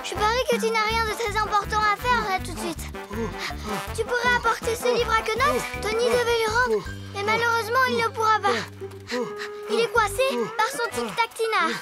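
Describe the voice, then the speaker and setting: A woman's voice: very high-pitched, fairly even intonation, normal speed. A woman voicing a boastful, cheeky little girl in a cartoon, with soundtrack music behind. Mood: wheedling. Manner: bragging, then sheepish and hesitant.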